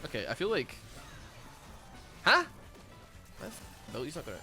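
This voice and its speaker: A man's voice: on the high side, huge pitch swings, normal speed, over music. A Scottish man with a Glaswegian accent and a lisp, mumbling and rambling in casual chat while streaming a game. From Scotland. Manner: mumbling, rambling with many fillers.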